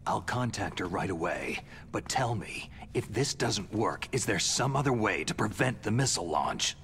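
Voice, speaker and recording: An actor in a video game playing a tough, terse soldier on a rescue mission, with a gravelly growl and a stern manner. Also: male voice, on the low side, animated, normal speed, a bit bright, slight background noise. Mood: stern, questioning. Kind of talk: dramatic video game voice acting.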